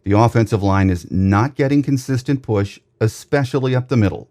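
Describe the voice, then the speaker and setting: A man hosting a sports podcast, delivering a scripted commentary monologue in a measured, orderly way. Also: medium pitch, dark tone. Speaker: podcast host.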